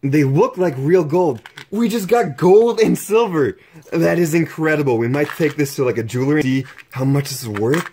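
A young man narrating his own exploration vlog, excitedly exclaiming over what he finds while filming up close with a handheld camera. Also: on the high side, moderate pace, a little hiss or hum. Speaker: exploration vlogger.

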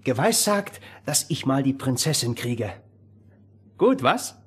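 An actor playing an eager, boastful teenage miller's son, impetuous and excitable, in a fairy-tale radio play. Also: male voice, medium pitch, slow, husky, exaggerated voice. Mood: proudly boastful. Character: eager, cocky fifteen-year-old miller's son longing for adventure.

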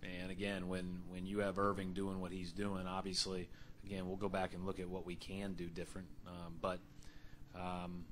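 A young man speaking as head coach at a postgame press conference into a table mic, measured and full of fillers and restarts. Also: low-pitched, completely flat delivery, a bit bright, slight background noise. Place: arena press room.

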